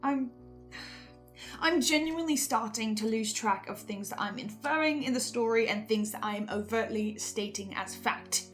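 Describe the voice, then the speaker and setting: Woman recapping a story like she's thinking out loud, earnest, highish voice, soft music under. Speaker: fan commentator playing a researcher. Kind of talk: reflective recap commentary.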